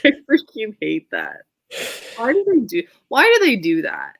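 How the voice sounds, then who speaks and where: A woman's voice: on the high side, wildly swooping pitch, measured pace, vocal fry, no room sound. A woman chatting casually and expressively about books as a co-host, in an informal, emphatic way. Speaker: book show co-host. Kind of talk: casual book chat.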